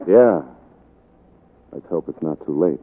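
An actor playing a frank but kindly film producer in a 1940s radio drama, halting and deliberate as he softens blunt words. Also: very deep voice, expressive, loud music behind the voice, full character voice. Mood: reassuring. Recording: muffled 1940s radio broadcast recording.

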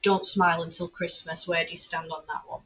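A woman's voice: lowish voice, flat delivery, brisk, bright, forceful tone, slightly rough. A woman, a podcast co-host, chatting casually with short, agreeable remarks and questions. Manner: brief, agreeable interjections and questions. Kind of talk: casual chat. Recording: distant, dull and muffled.

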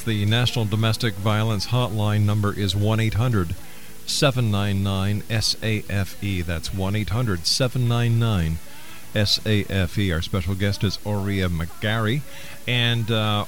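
A man hosting a radio talk show, speaking earnestly and deliberately as he interviews and makes announcements. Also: lowish voice. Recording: hissy, noisy broadcast audio.

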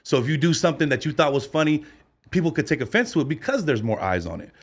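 A man hosting a podcast in casual interview chat, animated and quick to laugh, cheering his guests on. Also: a little high. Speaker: podcast host.

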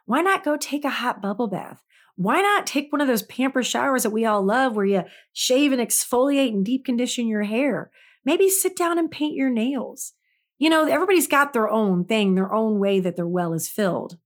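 A woman's voice: fairly high pitch, lively intonation, moderate pace. A middle-aged woman and mother of grown kids, hosting a casual self-help talk in a chatty, folksy way, her throat a little dry from allergies. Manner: chatty, folksy, addresses listeners directly. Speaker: podcast host.

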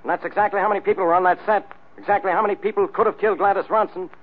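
An actor playing a gruff, exasperated, slow-witted police inspector who blusters bossily in an old-time radio detective drama. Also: male voice, sits high, steady tone, narrow, tinny audio. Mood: baffled, irritated. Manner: gruff, indignant, bossy. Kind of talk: 1940s radio detective acting.

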